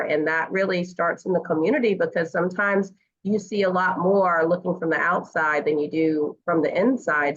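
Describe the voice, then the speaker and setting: A woman's voice: lowish voice, dull audio. A woman speaking as a guest parent coach in a conversational interview, earnest and encouraging, with fillers.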